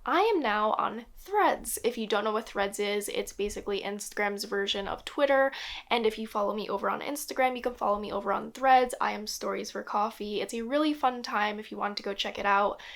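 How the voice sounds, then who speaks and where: A young woman hosts a casual book haul vlog, speaking with upbeat enthusiasm. She has a slight cold. Her voice sits at an ordinary middle pitch. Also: a little fast, creaky. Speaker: book vlogger.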